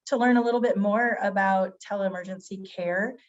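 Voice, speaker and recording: A woman's voice: medium pitch, fairly even intonation, moderate pace. A woman physician giving a webinar presentation, her voice a little scratchy as she gets over a cold. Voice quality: slightly scratchy. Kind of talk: webinar presentation, semi-scripted. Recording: dull, band-limited webinar audio.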